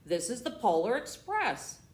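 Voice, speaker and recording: A woman voicing a brisk, commanding male train conductor in a children's storybook, calling out boldly and emphatically. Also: fairly high pitch, big pitch swings, pitched above the performer's own voice. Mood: booming, excited. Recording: roomy echo, faint background noise.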